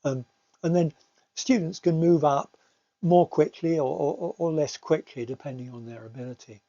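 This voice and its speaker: A man's voice: on the high side, normal speed, mellow, highs cut off. An older man, an English teacher, giving an informal recorded slide talk in a hesitant manner, often repeating words as he explains.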